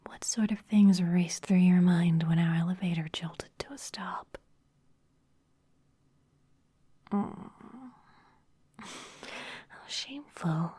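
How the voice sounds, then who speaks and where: A woman's voice: lowish voice, steady tone, takes it slowly, mellow, husky. A woman playing a seductive, domineering vampire office boss in an ASMR roleplay, whispering breathily in a teasing, commanding manner. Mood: stern, teasing.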